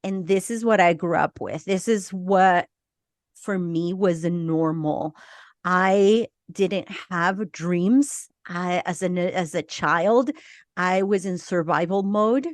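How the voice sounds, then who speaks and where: A middle-aged woman from Argentina with a slight Argentine Spanish accent, telling her life story as a podcast guest in a slow, reflective way. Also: fairly low pitch, no echo, clean studio sound. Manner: slow, reflective, with small laughs and restarts. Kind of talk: personal life story in interview.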